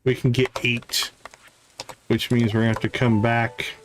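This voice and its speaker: A man giving casual live video game commentary, chatting and thinking out loud. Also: mid-range voice, flat delivery, normal speed. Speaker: gaming streamer.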